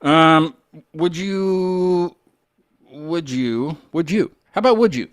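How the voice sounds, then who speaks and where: A man's voice: a little high, takes it slowly, clear, resonant tone, dry recording, clean studio sound. A man hosting a casual chat, joking and rambling as he goes. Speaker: podcast host interviewing a band.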